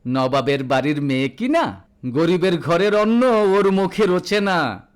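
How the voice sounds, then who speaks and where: A man puts on a spiteful mother-in-law for an audio story. The voice is pitched high. She sneers with sarcasm and open scorn. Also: expressive, unhurried, clear, resonant tone. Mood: scornful.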